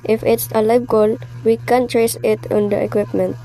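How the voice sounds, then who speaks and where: A woman's voice: on the high side, little pitch movement, moderate pace, dark tone, faint music behind, lightly acted, poor audio. A woman playing a formal, businesslike chief telephone operator in an amateur audio drama. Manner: formal, matter-of-fact, procedural. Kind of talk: amateur radio-play acting.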